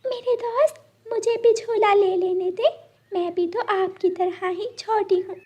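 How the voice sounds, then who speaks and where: A woman's voice: very high-pitched, steady tone, a little slow, lo-fi sound, pitched above the performer's own voice. A woman voicing a sad, innocent young girl bird in a cartoon, pleading childishly.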